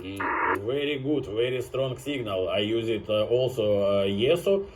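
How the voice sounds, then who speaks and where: A man's voice: fairly low pitch, lively intonation, unhurried, slight background noise. A man working as an amateur radio operator, exchanging short repetitive phrases and phonetic spellings in ham radio contacts.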